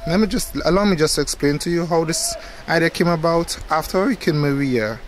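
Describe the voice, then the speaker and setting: A young man talks through his backyard garden in a relaxed, explanatory way, his voice sitting a little high for a man. He is recorded outdoors close to the camera. Light outdoor noise stays in the background. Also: a little fast. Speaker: gardening channel host. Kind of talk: casual how-to gardening vlog. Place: outdoor backyard garden beside a hoop house. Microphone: camera close up at face level.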